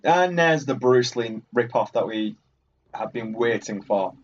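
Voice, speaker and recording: A man's voice: medium pitch, lively intonation, measured pace, muffled recording. A man giving casual let's play commentary in a rambling, offhand, dryly wry way. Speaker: gaming video host.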